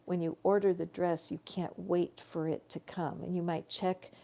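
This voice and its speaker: A woman giving a live tarot reading in slow, soothing spiritual guidance, her voice growing tired. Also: lowish voice, level tone, creaky, mellow. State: tiring voice. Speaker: tarot reader.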